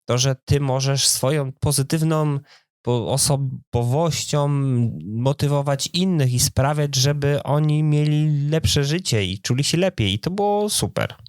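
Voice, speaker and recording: A man's voice: a little high, measured pace, smooth, no room sound, studio quality. A man co-hosting a podcast, talking in a casual, informal conversational way. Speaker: podcast co-host.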